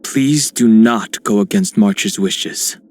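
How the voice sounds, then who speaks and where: A man voicing a calm, serious, scholarly young guardian with a measured, reserved manner in a video game. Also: fairly low pitch. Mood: composed, earnest.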